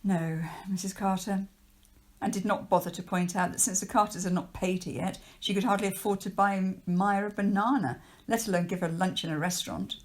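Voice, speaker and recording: Woman reading a storybook, mid-pitched. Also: steady tone, fairly quick, few pauses. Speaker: teacher reading to pupils. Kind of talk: storybook narration read aloud.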